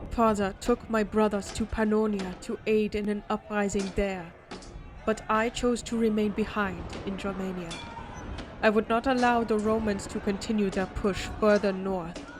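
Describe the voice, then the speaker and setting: A woman playing a defiant, vengeful Germanic warrior in a scripted audio roleplay, narrating solemnly and deliberately with weighty pauses. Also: medium pitch, fairly even intonation, over music, lightly acted. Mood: resolute. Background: ambient sound effects.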